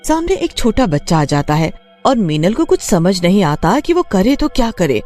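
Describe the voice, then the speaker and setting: A woman narrates a scripted story. Her intonation is lively and varied, moving up and down freely. Faint music plays behind the voice. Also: medium pitch, moderate pace.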